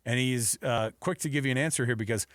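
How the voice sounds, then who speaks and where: A man's voice: mid-range voice, fast, no room sound. A man with an American accent co-hosting sports radio with casual, jokey, rambling banter on a close studio mic.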